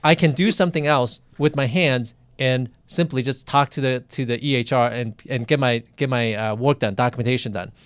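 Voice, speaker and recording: Doctor guy hosting a live video chat, smooth clear voice, dry sound, talks plainly. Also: medium pitch, dry recording. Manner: chatty, candid, halting restarts. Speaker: physician, interviewer. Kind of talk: casual interview over video.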